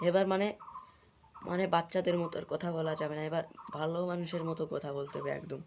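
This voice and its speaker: A man's voice: on the high side, flat delivery, fairly quick, creaky, a little mellow, slight background noise. A man with a Bangladeshi accent chatting informally to viewers as a video host, with repetitive running commentary. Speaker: prank-call video host. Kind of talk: casual vlog chat to viewers.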